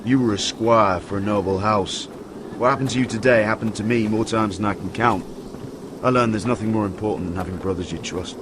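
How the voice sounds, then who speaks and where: An actor as a weary, frank northern ranger. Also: male voice, lowish voice, a little fast, noisy background, close to an everyday voice. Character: seasoned, brotherly ranger of a frozen northern watch. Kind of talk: dramatic video game acting.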